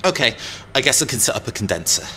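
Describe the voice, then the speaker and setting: A man playing a cocky, touchy young robot pilot and tinkerer in an audio drama, sarcastic and animated. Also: mid-range voice, quick pace, a little hiss or hum. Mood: resigned, practical.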